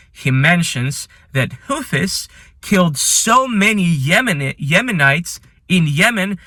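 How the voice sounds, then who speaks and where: A man who is not a native English speaker hosts a ranked commentary, speaking earnestly and with admiration, with some halting phrasing. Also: fairly high pitch, pushed and bright, no echo, studio quality. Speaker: channel host. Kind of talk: ranking list commentary.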